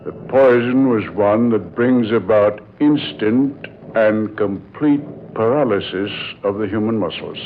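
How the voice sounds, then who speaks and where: An actor playing a grave doctor who calmly unveils a deadly secret in an old-time radio drama, with measured, deliberate storytelling. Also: male voice, lowish voice. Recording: muffled, noisy old radio recording.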